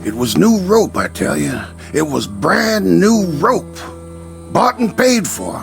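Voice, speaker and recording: A man acting an indignant, whiny town merchant with a croaky voice, protesting in a radio drama. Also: high-pitched, very animated, takes it slowly, faint music behind, full character voice. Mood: aggrieved. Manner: protesting, aggrieved.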